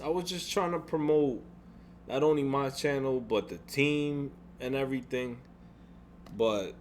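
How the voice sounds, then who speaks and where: A young man with a deep voice vents in a home-made gaming vlog. He rambles, repeats himself and swears, and a faint electrical hum sits under his speech. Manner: frustrated, rambling with frequent restarts and swearing. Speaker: gaming YouTuber. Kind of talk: casual venting vlog.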